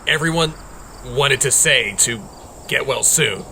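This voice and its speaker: A gruff young dog demon played by a man in a fan audio drama, with a gravelly, hard-edged voice. He talks haltingly, as if reluctant to show he cares, over a noisy home recording. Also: medium pitch, pushed and bright. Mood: gruff, awkward concern. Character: gruff, awkward young male half-dog demon, secretly caring.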